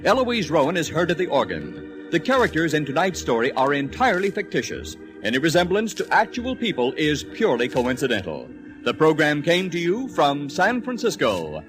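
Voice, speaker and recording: A formal man announcing the credits of an old radio show, clear and enunciated. His voice lifts and falls in a lively way, and there is a clear organ behind him. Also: a little high, moderate pace. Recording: old radio broadcast over organ music.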